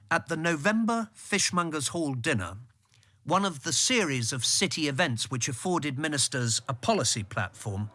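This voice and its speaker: A man acting a loyal political insider who narrates a radio drama in retrospect, in a measured storytelling manner. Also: fairly high pitch, sharp tone, heavily acted.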